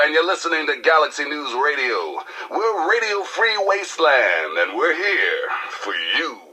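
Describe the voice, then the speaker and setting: A man's voice: on the high side, pushed and bright, slightly rough, heavily acted. An actor playing a brash, theatrical male radio DJ in a post-apocalyptic game, with slangy patter and irreverent swagger. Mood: exuberant, showy. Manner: showy, irreverent, preacher-like swagger. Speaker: radio host and news announcer.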